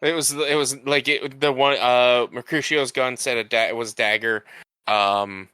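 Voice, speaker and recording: Crisp, bright-voiced man in casual chat. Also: mid-range voice, fairly even intonation, a little slow, no echo. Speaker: podcast co-host. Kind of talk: casual film discussion chat.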